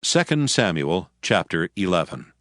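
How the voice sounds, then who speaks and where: A man narrating scripture from a script in a measured, formal storytelling manner. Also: sits low, very animated, fairly bright tone. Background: background music.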